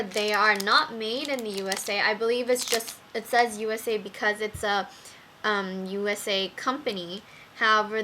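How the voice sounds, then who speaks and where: A young woman reviewing makeup brushes in a casual vlog, talking slowly with many ums while her voice wears out. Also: mid-range voice, pushed and bright, a little hiss or hum, poor audio. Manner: slow, with many ums and fillers. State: losing her voice. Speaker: beauty vlogger.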